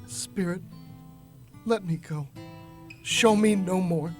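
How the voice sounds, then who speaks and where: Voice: medium pitch, slow, darkish tone, soft background music, close to an everyday voice. An actor playing a frightened, remorseful old miser in a radio drama, pleading and agitated. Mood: anguished pleading.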